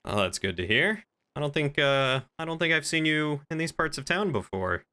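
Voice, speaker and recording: A man's voice: a little high, very animated, normal speed, bright, forceful tone, clean tone, pitched above the performer's own voice. A man playing an affable small-town tavern keeper in improvised game roleplay, with polite, folksy small talk. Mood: friendly.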